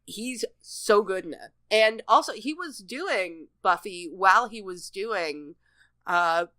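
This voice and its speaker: A woman's voice: mid-range voice, animated, slow, pushed and bright, no echo. A woman co-hosting a podcast, talking casually about a television show. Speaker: podcast co-host. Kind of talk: casual TV episode discussion chat.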